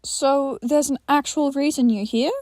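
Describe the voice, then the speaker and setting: A woman acting flustered wary man, raised pitch, stammering, irritable, bewildered, horror audio fiction. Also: fairly even intonation, measured pace, a little mellow, no echo, clean studio sound. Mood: frustrated. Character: wary, exasperated, flustered man named John.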